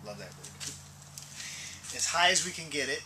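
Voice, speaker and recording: A man's voice: a little high, lively intonation, normal speed. A man in his forties giving a casual hands-on tutorial in a plain-spoken way, thinking aloud step by step as he works. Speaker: DIY instructor. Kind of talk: casual hands-on tutorial talk while working. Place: inside a plastic-covered wood-framed dome greenhouse. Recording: noisy ambient camera audio.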